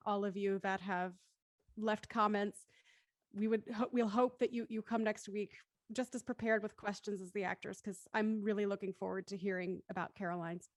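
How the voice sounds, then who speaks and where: A woman's voice: mid-range voice, dry recording. A woman hosting a casual online after-show, talking informally and addressing guests and audience as host.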